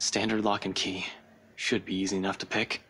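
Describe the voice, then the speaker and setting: A man's voice: low-pitched, flat delivery, brisk, heavy background noise, natural voice. A man voicing a jittery, stammering, sardonic young investigator in a visual novel game. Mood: muttering, disgusted. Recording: music behind the voice.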